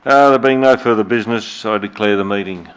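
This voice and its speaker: A man with an Australian accent chairing a council meeting as mayor, measured and hesitant with many fillers, recorded on dull lo-fi room audio. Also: lowish voice, smooth. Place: council chamber.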